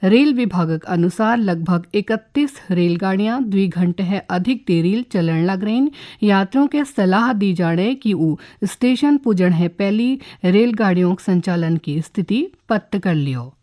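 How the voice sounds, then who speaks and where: A woman with a Kumaoni accent reading a scripted regional radio news bulletin in a formal, measured announcer's manner. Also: medium pitch, lively intonation, warm tone. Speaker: radio newsreader.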